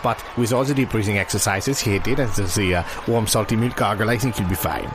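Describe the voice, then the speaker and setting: A man playing an earnest sports expert giving halting, deadpan mock commentary. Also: fairly low pitch, background noise, close to an everyday voice. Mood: matter-of-fact. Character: earnest male sports expert on absurd rock tossing.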